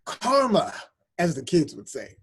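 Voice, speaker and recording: A man playing a proud, encouraging middle-aged father with a husky voice in a script table read. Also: fairly high pitch, wildly swooping pitch, a little slow, heavily acted.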